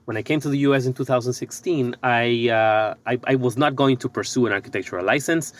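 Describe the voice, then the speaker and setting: Middle-aged man from Ecuador, podcast guest, mid-pitched, reflective, halting delivery. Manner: thoughtful, pauses and restarts often. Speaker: guest, architect and business coach. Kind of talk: conversational podcast interview.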